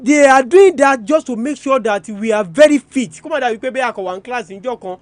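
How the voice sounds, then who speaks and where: A man's voice: very high-pitched, expressive, quick pace, dry recording. A man with a Nigerian Yoruba accent giving an educational radio talk, explaining didactically, repeating key terms and asking rhetorical questions as he switches between two languages. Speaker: radio presenter.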